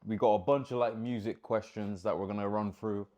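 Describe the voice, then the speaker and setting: A man talks fast on a casual podcast, keen and quick to agree. He jumps straight into the next point as he speaks. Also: fairly low pitch, fairly even intonation, a little mellow, muffled recording. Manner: quick, eager, agrees often. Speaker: podcast co-host. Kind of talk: casual chat.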